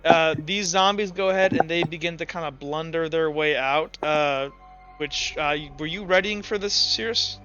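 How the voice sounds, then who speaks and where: A man's voice: on the high side, normal speed, lo-fi sound, over music. A man casually narrating a tabletop roleplaying game as game master, thinking aloud and correcting himself as he goes.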